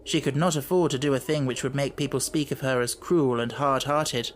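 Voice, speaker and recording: A man's voice: mid-range voice, steady tone, fairly quick, slight background noise. A man reading scripted literary narration as an audiobook narrator.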